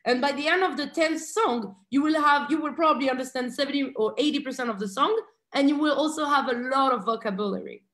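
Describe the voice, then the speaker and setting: A woman in her thirties, a guest on a video call interview, chatty and animated, telling stories from her bright room at home. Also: high voice, fast talker, echoey room. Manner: chatty and animated, laughs often, gestures with hands. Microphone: video call webcam.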